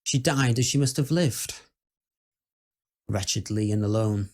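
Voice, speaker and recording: A man playing a skeptical, uneasy Victorian gentleman who tells ghost stories in a formal, measured, old-fashioned way. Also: fairly low pitch. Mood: solemn, dread. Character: dread-filled, skeptical Victorian gentleman recounting house ghosts. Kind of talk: first-person dramatic ghost-story monologue.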